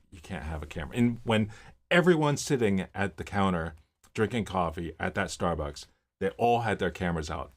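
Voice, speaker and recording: A man in his fifties, originally from Miami, hosting a casual livestream and chatting through viewer comments with rambling stories and many fillers. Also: low-pitched. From Miami, Florida. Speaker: livestream host. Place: home office.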